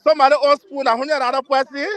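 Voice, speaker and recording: A man chatting casually as a show guest in an excitable, effusive way. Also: very high-pitched, quick pace, a loud crowd. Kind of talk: casual chat.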